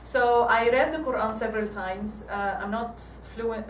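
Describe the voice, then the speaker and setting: An Egyptian woman in her forties gives a church talk with slides, halting and restarting often. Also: a little high, slight background noise. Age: 40s. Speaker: guest speaker. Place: church hall. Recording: echoey hall from far off.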